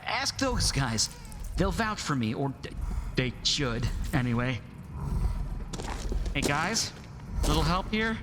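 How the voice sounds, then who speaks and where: A man's voice: on the high side, very animated, slow pace, pauses often, background noise. An actor playing a friendly, flustered young male mech pilot, chatty, wry and stammering, in a sci-fi motion comic. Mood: sheepish, pleading. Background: music.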